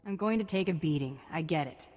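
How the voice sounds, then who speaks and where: A woman's voice: fairly low pitch, lively intonation, a little fast, darkish tone, big-room sound, soft background music. A woman playing a confident, wry bounty hunter with cool, glib delivery in a video game. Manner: cool, glib one-liners.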